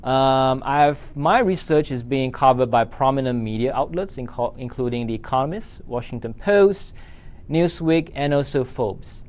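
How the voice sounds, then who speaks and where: A male university instructor with a Singaporean accent delivers a prepared online lecture. His voice sits at a medium-high male pitch of ordinary range. He explains things in a measured, orderly way, marking each point as he moves on. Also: a little mellow. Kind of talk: scripted course lecture.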